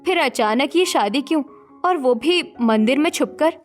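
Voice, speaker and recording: A high female voice acted by a woman plays an exasperated housewife and elder sister in a cartoon story. She lectures sternly, with faint music behind her. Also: animated, normal speed. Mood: probing. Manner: scolding, lecturing. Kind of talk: Hindi cartoon story voice acting.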